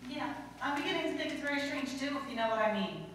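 A woman's voice: high voice, animated, fast talker, fairly bright tone. A woman playing a suspicious, insinuating friend in an old-time radio comedy, with a pointed manner. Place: stage in a meeting hall before an audience. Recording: noisy echoey hall, far from microphone.

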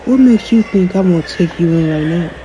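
A man's voice: high voice, fairly quick, mellow, slight background noise, natural voice, rough recording. A man playing a cocky, jaded young superhero in an audio roleplay, talking in a dry, sarcastic, aloof way. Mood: guarded, dismissive. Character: jaded, cocky young male superhero, dismissive of his fan. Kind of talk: audio roleplay acting, one-sided conversation.

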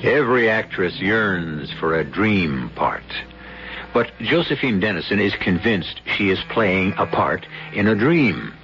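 A man hosting and narrating a 1970s radio mystery drama with a measured, dramatic delivery. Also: fairly low pitch, animated, a bit bright. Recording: muffled old radio broadcast with music.

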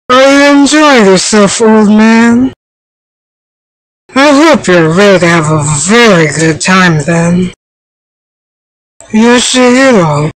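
A proud, stern young warrior woman of feudal Japan, acted by a woman in video game style. She speaks slowly and formally, with haughty weight on her words. The sound is dry and close to the room-free side, captured on a low-quality amateur mic. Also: no room sound. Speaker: amateur voice actor. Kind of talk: video game character voice reel.

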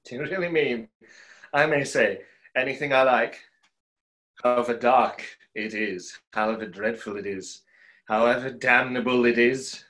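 A man's voice: medium pitch, measured pace, a bit bright, close to an everyday voice. A young man playing a tormented, lovestruck conjurer in a stage play reading, with theatrical, emphatic delivery. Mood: grave, confessing. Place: small room at home, video call.

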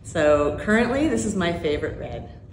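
A woman's voice: fairly low pitch, big pitch swings, normal speed, a little hiss or hum. A middle-aged woman from Hawaii, a winery co-owner, talking enthusiastically to the camera. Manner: enthusiastic, descriptive. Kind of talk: promotional to-camera talk. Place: tasting room at a wooden bar. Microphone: camera a few feet away. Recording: roomy indoor echo.

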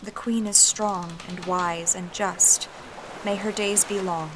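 A woman's voice: fairly low pitch, fairly even intonation, slow pace, noisy background. Gracious, refined noble lady. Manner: formal, genteel, courteous. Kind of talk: fantasy audio drama acting.

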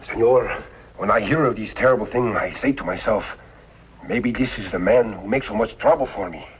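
Guy playing a humble mexican fella in an old western, lowish voice, accent, super polite and hesitant, muffled old tv sound. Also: normal speed, crowd noise, close to an everyday voice. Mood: humbly polite. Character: Mexican peasant man in disguise, humble, deferential, halting. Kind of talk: 1950s television western acting.

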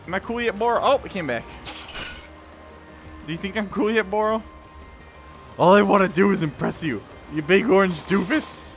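A man's voice: high voice, normal speed, pauses often. A man giving playful, casual commentary as he plays a video game. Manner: playful, muttering reactions and exclamations. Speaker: gaming video host. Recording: game music behind.

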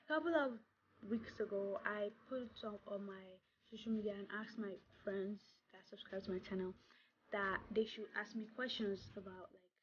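A young woman from Nigeria with a Nigerian accent, chatting casually to camera as a vlogger, stressing points by repeating words. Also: mid-range voice. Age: young adult. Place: small room indoors. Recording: dull lo-fi sound, clear background noise.

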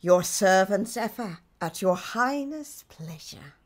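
An actress playing a ruthless, scheming noblewoman, speaking Shakespearean verse in a deliberate, theatrical manner for a radio drama. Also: medium pitch, lively intonation. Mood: courteous.

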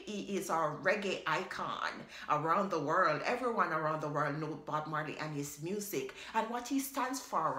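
A Jamaican woman in her thirties talking casually to her phone camera in a small home kitchen, chatty and laughing often. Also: on the low side. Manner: chatty, animated, laughs often, repeats "yes, guys". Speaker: vlogger. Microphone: phone camera at arm's length. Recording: echoey kitchen.

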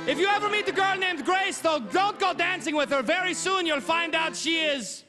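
An eager man fires off one-liners and puns in a comedy sketch, often shouting. His voice sits extremely high, and music plays clearly behind him. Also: expressive, normal speed. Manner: eager, shouty, punning delivery. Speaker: comedian doing a contestant bit. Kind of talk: comedic talent show sketch.

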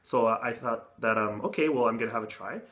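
A young man sits on a living room couch as the guest in a relaxed chat. His voice sits at an ordinary mid pitch, and he talks with friendly enthusiasm, filling pauses with lots of "uh" and "like.". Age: young adult. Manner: friendly, enthusiastic, many fillers. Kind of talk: casual interview chat.

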